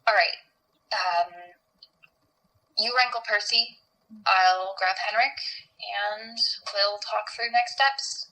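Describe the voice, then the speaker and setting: Very slow, bright actress as a weary researcher. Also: female voice, medium pitch, steady tone, poor audio. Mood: practical. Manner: measured, deliberate, with sighs and pauses. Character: weary, forthright researcher woman, steady and practical. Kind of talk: naturalistic audio horror drama acting.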